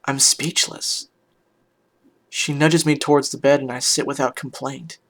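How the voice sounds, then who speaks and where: A man voicing a heartbroken, weary pony musician in a hushed, halting, emotional first-person story reading. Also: medium pitch, steady tone, natural voice. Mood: weary, subdued. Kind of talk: dramatic first-person fan fiction reading.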